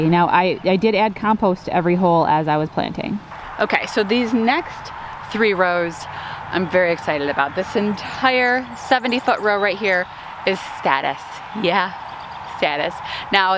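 A woman's voice: mid-range voice, a little hiss or hum. A woman in her thirties, a flower farmer from upstate New York, chatting upbeat to viewers as she walks her field outdoors with a handheld camera. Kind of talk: casual farm tour vlog. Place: outdoors in open farm field.

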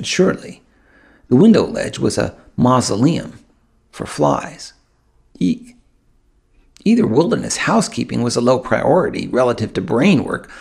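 Gravelly-voiced man reading a story. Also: mid-range voice, darkish tone. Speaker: author narrating his own audiobook. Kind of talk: first-person audiobook narration.

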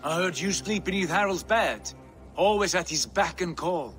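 An actor playing a proud, fervent Viking prince in a video game, obsessed with glory and speaking in formal, grandiose oratory. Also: male voice, high voice, animated, normal speed, a bit bright, soft background music. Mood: cold, scornful. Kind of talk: dramatic video game acting.

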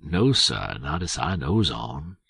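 Guarded Southern man, deep and unhurried with a husky grain; man voicing him. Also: very animated, a bit bright, no echo, clean studio sound, exaggerated voice. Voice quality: slow, careful, lowered replies. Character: cautious, quiet grown man with a Southern dialect.

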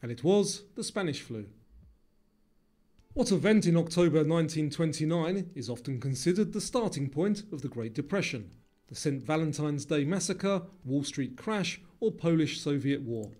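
A man narrating a scripted quiz, reading questions and answers in a measured, formal way. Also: fairly high pitch, lively intonation, darkish tone. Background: music.

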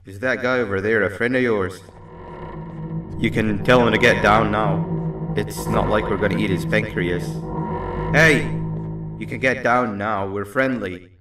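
A man's voice: lowish voice, steady tone, unhurried, long pauses. A man voicing a male video game character who calls out to others. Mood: calling out. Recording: echoey, distant, under loud music.

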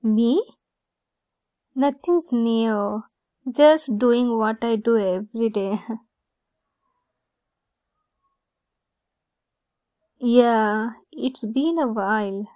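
A woman voicing an affectionate, playful young female best friend secretly in love, in a soft, halting ASMR roleplay. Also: fairly high pitch, no echo. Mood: playful, fond. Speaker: audio roleplay voice actress.

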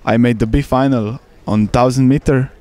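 A man's voice: medium pitch, fairly even intonation, moderate pace, dark tone, clear, resonant tone. A young man from Slovenia, speaking English as a second language, answering interview questions outdoors into a handheld mic with some fillers and restarts. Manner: careful non-native English with fillers and restarts. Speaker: canoe sprint athlete. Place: outdoors by a regatta course.

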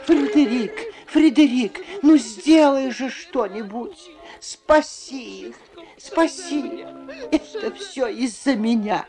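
A woman performs an expressive voice-over for a children's cartoon. Her pitch moves around a lot, with clear music behind her on an old film soundtrack. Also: slow pace. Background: clear background music.

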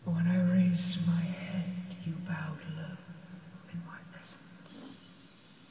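An ancient female dragon, played by a woman, speaks in a breathy half-whisper, very slowly and with little rise or fall. She is imperious yet gentle and stately in her archaic phrasing. Her voice comes from a distance through long cavernous reverb, in the manner of an ASMR fantasy roleplay. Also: on the low side, flat delivery. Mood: stern, probing.

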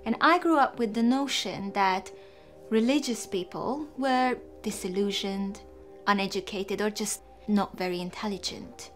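A woman's voice: medium pitch, a little slow, faint music behind. A woman in her thirties from Hungary, a native Hungarian speaker, giving a personal testimony in an interview. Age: 30s. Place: indoor studio room. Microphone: overhead boom.

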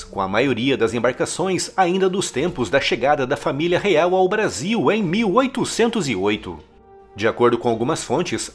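A man reading a scripted history documentary narration in a measured, emphatic storytelling manner. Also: a little high, husky.

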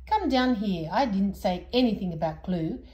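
A woman's voice: medium pitch, lively intonation, normal speed, darkish tone, echoey room, close to an everyday voice. A woman voicing a fond, teasing old man from a storybook who coaxes his friend down to tea. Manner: affectionate, coaxing.

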